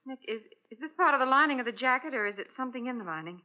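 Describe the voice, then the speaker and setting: Inquisitive girl assistant, fast, high and lively, vintage radio acting. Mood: curious, eager. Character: alert, inquisitive female detective's assistant. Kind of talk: old-time radio detective acting. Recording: dull old radio recording.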